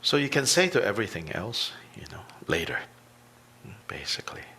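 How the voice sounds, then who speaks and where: A man teaching a guided meditation, speaking deliberately in short phrases with long pauses between them. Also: medium pitch, sing-song, bright, forceful tone, slightly rough, in a whisper.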